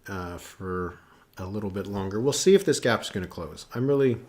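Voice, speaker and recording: A man's voice: fairly low pitch, animated, moderate pace, darkish tone. A man hosting a live election analysis stream, chatting casually with many fillers as he thinks aloud and reacts to viewers. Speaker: political analyst hosting a livestream.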